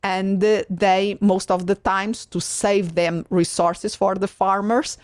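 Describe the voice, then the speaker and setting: A woman from Portugal with a Portuguese accent, hosting a conversational interview in an enthusiastic, halting way and often rephrasing herself. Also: medium pitch, little pitch movement, no echo, studio quality. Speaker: podcast host.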